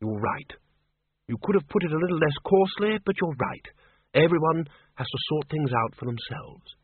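An ancient undead wizard in a fantasy audiobook, played by a male narrator. He muses softly and wryly, with thoughtful hesitations, in a slightly husky voice on a tinny, band-limited recording. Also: on the high side, animated, normal speed, no room sound, voice pushed up. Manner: soft-spoken, hesitant, thoughtful. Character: very old undead male wizard, musing, wry.

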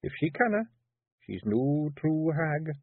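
A man voicing a young Scottish fairy wife, high-pitched and slow, sweet on the surface with steel underneath. The voice is husky, and the audio sounds tinny and dry. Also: very animated, dry recording, heavily acted. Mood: thoughtful. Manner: dangerously sweet, measured.

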